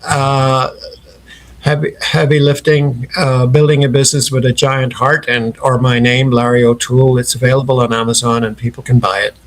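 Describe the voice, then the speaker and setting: A man's voice: medium pitch, little pitch movement, normal speed, poor audio. Older Irish man, company founder. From Ireland. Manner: repeats words when starting a thought. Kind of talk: casual interview conversation.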